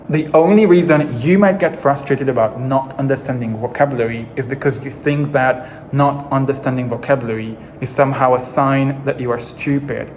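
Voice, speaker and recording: A man's voice: medium pitch, a little mellow, slight background noise, low-quality recording. A young adult man from Hungary with a Hungarian accent, speaking English as a second language, giving an earnest, encouraging teaching monologue as an English coach.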